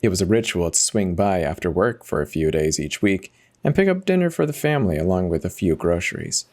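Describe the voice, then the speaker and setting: A man's voice: sits low, very animated, brisk, a little mellow. A man giving a dramatic first-person reading of a horror story as its narrator.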